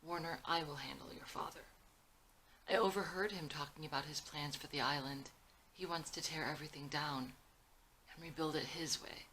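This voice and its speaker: A kindly, dignified old grandfather, read by a woman offers gentle wisdom in a screenplay read-through. The voice is bright and clear-cut, with faint noise behind it. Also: low-pitched, normal speed, low-quality recording. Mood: resolute. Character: kind, dignified grandfather in his eighties, encouraging.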